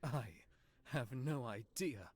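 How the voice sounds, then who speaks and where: A man voicing a reserved, weary young prince in a visual novel game, with a low-key, deadpan delivery. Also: takes it slowly. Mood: dry denial. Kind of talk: visual novel character acting.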